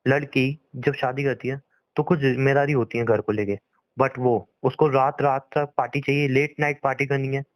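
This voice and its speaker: A man's voice: mid-range voice, steady tone, normal speed, dry recording, rough recording. A young man arguing in a private phone conversation, insistent and pleading, often repeating himself. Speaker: boyfriend on a phone call.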